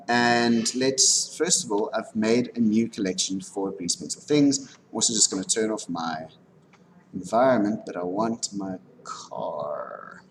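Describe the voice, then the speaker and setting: A man lecturing informally on animation software, talking through a live demonstration and often checking in with his students. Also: fairly low pitch, low-quality recording. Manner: instructive, frequently checking in with "okay". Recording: background noise, room ambience.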